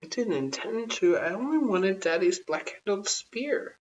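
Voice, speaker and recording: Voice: medium pitch, animated, unhurried, recorded from a distance, highs cut off, pitched above the performer's own voice, lightly acted. An adult storyteller voicing a small, outspoken girl, innocent and indignant, in storybook dialogue.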